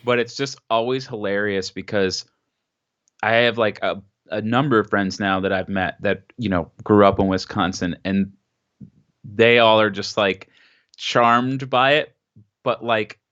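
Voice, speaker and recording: A man's voice: on the low side, fairly even intonation, unhurried, a bit bright, clean tone, highs cut off. A man from Pennsylvania, a podcast guest chatting casually and swearing freely. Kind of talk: casual chat.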